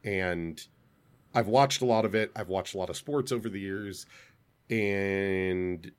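A man's voice: deep voice, slow pace, clear, resonant tone. A man chatting casually on a gaming podcast, eager and restarting his words. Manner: enthusiastic, repeats words when starting.

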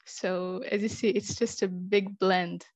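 A young woman from Israel, a podcast guest who explains things in a measured way. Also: medium pitch, steady tone, no echo. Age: young adult. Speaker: interview guest. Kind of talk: conversational interview.

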